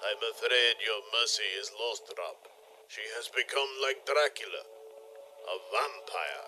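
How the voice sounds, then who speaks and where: A man's voice: lowish voice, a little slow, bright, forceful tone, soft background music, pitched below the performer's own voice. A man playing a grave, pompous vampire hunter doctor in a comic audio play, solemn and melodramatic.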